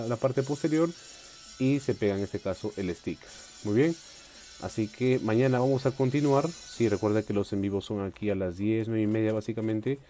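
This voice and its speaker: A man's voice: on the low side, dark tone. A man hosting a live unboxing stream from a tabletop, chatty and upbeat, reading viewer comments aloud. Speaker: collector livestream host. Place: indoors at a table.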